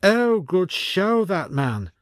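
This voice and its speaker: Pompous old colonel acted by a male narrator, raised pitch, commanding, old-fashioned military phrasing, storybook character. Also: sing-song, takes it slowly, no echo, studio quality, heavily acted. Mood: approving.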